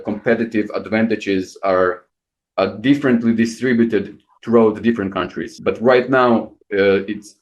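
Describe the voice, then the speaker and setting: A man's voice: fairly low pitch, a little mellow. A man from Croatia, a business owner on a panel, speaking thoughtfully with restarts and fillers. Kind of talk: panel discussion. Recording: dull lo-fi sound.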